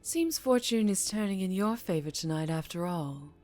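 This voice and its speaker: A woman playing a dangerously charming female mafia boss in an ASMR roleplay, teasing and menacing, with deliberate purring delivery that drops toward a whisper. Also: lowish voice, lively intonation, a little mellow, soft background music, close to an everyday voice. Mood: smug, watchful. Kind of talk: ASMR roleplay audio drama, addressing the listener.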